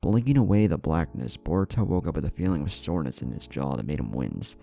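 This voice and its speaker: A man's voice: a bass voice, very animated, fast, gravelly, dark tone. A man reading an adventure story as a dramatic scripted narration. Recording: background music under the voice. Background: music.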